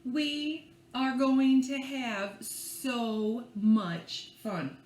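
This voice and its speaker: A woman reading a picture book to young children in an expressive, playful and theatrical way, drawing out words and adding hushed asides. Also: fairly high pitch, extremely slow. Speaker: librarian reading at children's storytime. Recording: echoey room with faint background noise.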